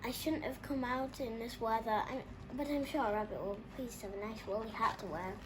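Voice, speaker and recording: A woman voicing a small, gentle, sleepy male hedgehog in a children's storybook, mild and soft in manner. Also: a little high, fairly even intonation, brisk, background noise, natural voice. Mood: fretful.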